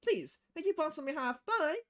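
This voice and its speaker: Woman putting on a high, polite bar customer. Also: expressive, normal speed. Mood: grateful. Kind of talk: visual novel dialogue read aloud.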